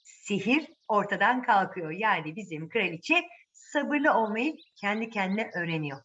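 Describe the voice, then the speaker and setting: A woman's voice: mid-range voice, animated, a little slow, a bit bright. Woman storyteller with music behind. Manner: conversational, asks listeners questions. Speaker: children's storyteller. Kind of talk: animated storytelling addressed to children. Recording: muffled audio with music behind.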